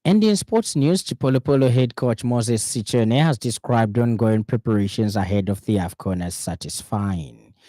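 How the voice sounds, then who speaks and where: A man with a Zambian accent reading a scripted radio news bulletin in a formal, measured way. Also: on the low side, warm tone, no room sound, studio quality. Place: dry radio studio.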